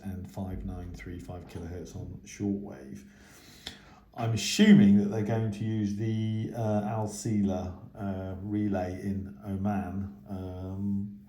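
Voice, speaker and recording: A man giving a casual, unscripted radio hobby news update in a hesitant, halting way. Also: low-pitched, dark tone. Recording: echoey, roomy sound.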